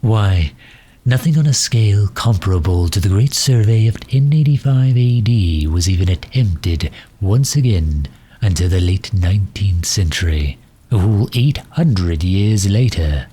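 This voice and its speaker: Man playing a low, husky, pompous gentleman villain. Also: lively intonation. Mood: pedantic, condescending. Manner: condescending, wordy, hesitant pauses. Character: pompous, pedantic, affable gentleman supervillain, fond of his hero. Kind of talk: ASMR roleplay audio drama.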